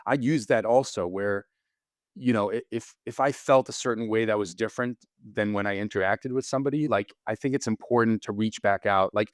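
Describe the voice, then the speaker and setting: Low-voiced man, rambling with restarts. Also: a little fast, no echo, studio quality. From Israel. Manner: rambling, frequent restarts and repeated words. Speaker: podcast guest, surgeon. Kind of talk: casual interview conversation.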